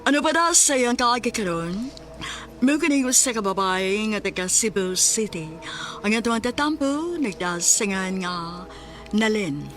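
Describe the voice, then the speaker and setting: A woman's voice: mid-range voice, expressive, measured pace, over music. A woman announcing a radio drama in a dramatic, scripted style.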